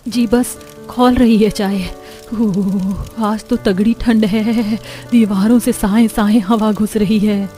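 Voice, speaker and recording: A weary, worried daughter-in-law from a cartoon household, played by a woman. Her voice is plaintive and homely, at a middling pitch, over music. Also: steady tone, takes it slowly, mellow, natural voice.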